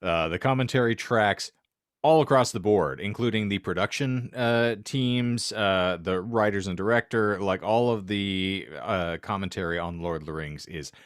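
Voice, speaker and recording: A man's voice: fairly low pitch, moderate pace, clear, resonant tone, dry recording, clean studio sound. A man co-hosting a podcast, chatting casually in wordy, mock-formal phrases. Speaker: podcast co-host. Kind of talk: casual chat.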